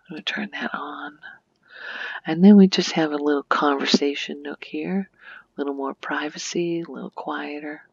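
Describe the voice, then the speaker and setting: A soft, breathy woman gives an unhurried ASMR game tour, often dropping near a whisper. Her voice has a creaky edge, and the close, dry recording is slightly muffled. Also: low voice, no room sound. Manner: gentle, unhurried, hushed. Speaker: video creator giving a game tour.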